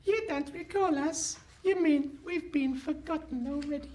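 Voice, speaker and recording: A woman's voice: sits high, measured pace, mellow. A woman playing a dotty, indignant elderly character in a comic radio play, querulous and muddled. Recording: noisy live hall recording.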